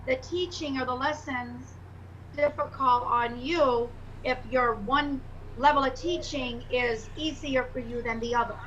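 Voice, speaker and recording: A woman with a high, bright voice talks earnestly on a video call, weighing her words with fillers as she thinks aloud. The call audio is dull and lo-fi. A faint hiss runs underneath. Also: measured pace. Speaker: pastor and prospective student. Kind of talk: casual orientation call.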